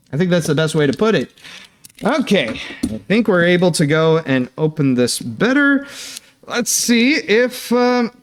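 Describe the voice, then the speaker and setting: A young Canadian man hosting his channel, chatting through fan mail in a casual, excitable way, with lots of fillers and restarts. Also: high-pitched. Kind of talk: casual unboxing chat.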